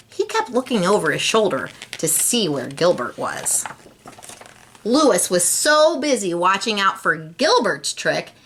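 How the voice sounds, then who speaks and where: A woman reads a children's story at an unhurried pace. Her voice is bright and swoops through a lively range, with an expressive, teacherly delivery. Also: mid-range voice. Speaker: storybook reader. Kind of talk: animated read-aloud for children.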